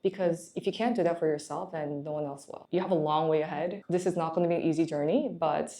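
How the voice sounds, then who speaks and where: A woman's voice: deep voice, steady tone, fast talker, mellow. A young woman from Korea, a management consultant, giving candid, encouraging career advice in a chatty way.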